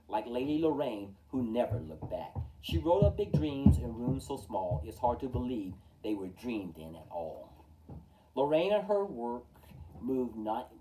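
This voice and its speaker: A man reading a rhyming children's picture book aloud with rhythmic, emphatic, expressive delivery. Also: medium pitch. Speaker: storytime reader. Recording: distant from the mic.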